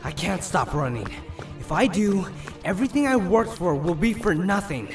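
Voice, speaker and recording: Driven, higher-pitched male undercover agent acted. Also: very animated, moderate pace. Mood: urgent, defensive. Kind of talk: audio crime drama dialogue and narration. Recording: faint music behind.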